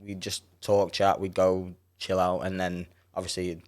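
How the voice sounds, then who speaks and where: A young man with a Scouse accent, a rapper and producer chatting casually as an interview guest, relaxed and quick to laugh. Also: low-pitched. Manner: relaxed, laughs often, trails off into fillers.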